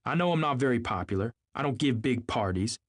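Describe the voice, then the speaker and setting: A man's voice: medium pitch, lively intonation, brisk, pitched above the performer's own voice, lightly acted. A man voicing an arrogant, indignant wealthy husband in an audiobook, pompous and snapping. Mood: sneering, pompous.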